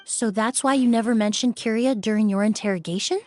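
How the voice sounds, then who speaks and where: A woman voicing a polite, composed young forensic specialist in a video game, measured, courteous and thoughtful. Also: on the high side, a little mellow, slight background noise, close to an everyday voice.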